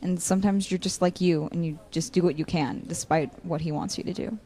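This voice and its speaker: A woman's voice: lowish voice, fairly even intonation, a little fast, mellow, close to an everyday voice. A woman acting a blunt, wry and protective young friend in a naturalistic audio drama. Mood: firm, supportive.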